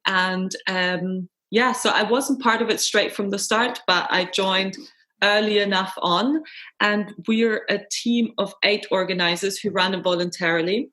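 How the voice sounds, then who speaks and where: A woman's voice: mid-range voice, unhurried, sharp tone. Chatty, enthusiastic woman in her thirties. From Austria. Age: 30s. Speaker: festival organiser and tour manager. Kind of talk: webinar Q&A answers.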